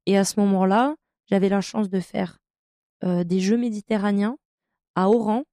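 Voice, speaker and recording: A woman's voice: medium pitch, steady tone, moderate pace, no echo, clean studio sound. A woman in her thirties, a professional handball player from France, telling her career story in a podcast interview with many hesitations. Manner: hesitant, many fillers, warm enthusiasm about her career.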